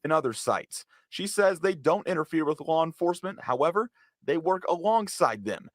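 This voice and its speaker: A man narrates a scripted news report. His voice is a little higher than most men's, and the sound is dry, with no room around it. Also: big pitch swings, moderate pace, no room sound.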